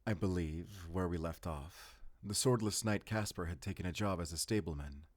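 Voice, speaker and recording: A man's voice: deep voice, measured pace, slightly rough, no room sound, studio quality, full character voice. A man playing a brooding, guilt-ridden hunter and tender lover in a cinematic romantic audio roleplay, earnest and intimate. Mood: gentle, storytelling.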